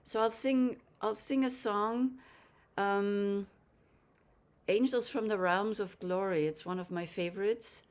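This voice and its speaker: A soft-spoken woman, recounting a memory over a video call. She talks slowly and gently, pausing often with little ums. Her voice sits at an ordinary middle pitch. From Austria. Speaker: guest musician and storyteller. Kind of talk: relaxed storytelling with gentle pauses.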